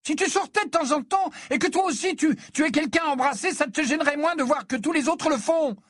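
A man voicing a furious, defiant teenage girl from a storybook, shouting and mocking with heavy emphasis. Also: high-pitched, sing-song, moderate pace, no echo, clean studio sound, voice pushed up. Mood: raging.

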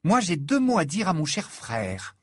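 A man voicing a furious, defiant teenage girl from a storybook, shouting and mocking with heavy emphasis. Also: fairly high pitch, wildly swooping pitch, moderate pace, no room sound, studio quality, voice pushed up. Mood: annoyed.

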